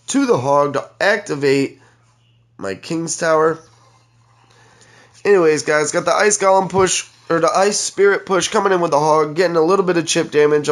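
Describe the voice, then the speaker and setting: A congested young man giving chatty play-by-play commentary on his own mobile game match, talking straight to his viewers. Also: on the high side. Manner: chatty, keeps addressing viewers as guys. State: congested, stuffed-up nose. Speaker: gaming channel host.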